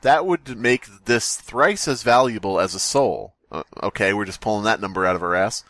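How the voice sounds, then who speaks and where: A man's voice: on the low side, animated, normal speed, fairly bright tone, dry recording. A man co-hosting a podcast, reading aloud and riffing casually with irreverent, jokey interjections. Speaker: podcast co-host. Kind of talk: casual group read-aloud and joking commentary.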